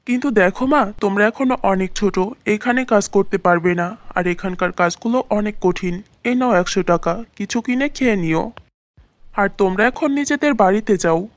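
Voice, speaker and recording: A kindly uncle-like boss man in a cartoon fairy tale , put on by a woman. The voice drops well below the narration and talks gently and fatherly, dry and close. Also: mid-range voice, moderate pace, dry recording, pitched below the performer's own voice, close to an everyday voice. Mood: kind, concerned. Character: kindly male labour boss, middle-aged uncle.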